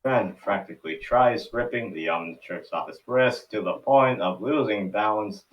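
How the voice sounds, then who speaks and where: A man's voice: fairly low pitch, normal speed, far from the mic, highs cut off. A man narrating stage directions for a cartoon table read in a scripted storytelling style.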